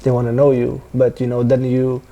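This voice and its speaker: Mellow-voiced man in his thirties. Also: medium pitch, monotone, brisk, creaky, poor audio. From Sweden. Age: 30s. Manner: earnest, reflective, frequent restarts. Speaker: guest, football player. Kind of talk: candid sit-down interview. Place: dim studio lounge with sofa. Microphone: lapel mic.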